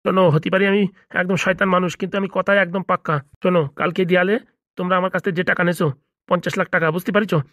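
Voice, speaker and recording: A man's voice: sits high, level tone, very fast, fairly bright tone, no echo, studio quality. A man voicing a blunt, self-important small-time gangster boss in a comedy cartoon, bossy and businesslike with a Bengali accent. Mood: boastful, firm. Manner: bossy, businesslike, repeatedly seeking agreement.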